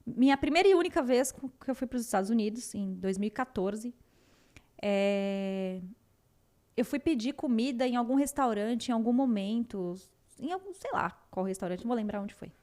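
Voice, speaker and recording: A woman's voice: fairly high pitch, normal speed. A young woman with a São Paulo accent, co-hosting a casual book discussion and chatting freely. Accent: São Paulo Portuguese. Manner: chatty, acts out quoted people in animated voices. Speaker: book club co-host.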